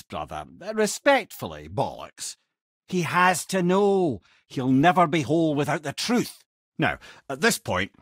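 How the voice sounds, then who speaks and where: An actor with a Scottish accent playing a wry, worldly old storyteller and adviser in a video game, husky and eloquent, speaking with theatrical flair and dry asides. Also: male voice, mid-range voice, a bit bright, no echo, full character voice. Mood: wry, conversational. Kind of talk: video game character dialogue and mythic storytelling.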